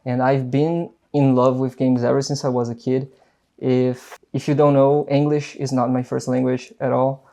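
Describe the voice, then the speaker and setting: Flat mellow Brazilian-accented young man. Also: medium pitch, normal speed. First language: Portuguese. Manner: rambling, frequent fillers and restarts, casual swearing. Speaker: vlogger. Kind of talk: unscripted casual monologue.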